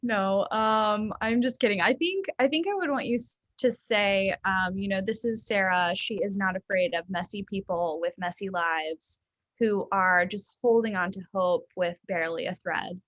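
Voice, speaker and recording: A young adult woman from the American South, a therapist talking casually and thoughtfully in an interview, with frequent ums and soft laughs. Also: mid-range voice, normal speed, no room sound, low-quality recording. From Southern United States.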